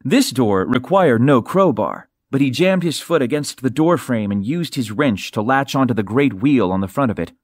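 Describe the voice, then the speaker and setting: A man reading an audiobook as a narrator, with dramatic, expressive and suspenseful delivery, close to a studio microphone. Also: mid-range voice, normal speed, hardly any pauses, dry recording. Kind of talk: dramatic scripted fiction narration.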